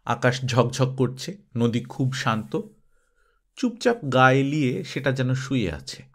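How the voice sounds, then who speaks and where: A man reading a story aloud as an expressive scripted narration. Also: mid-range voice.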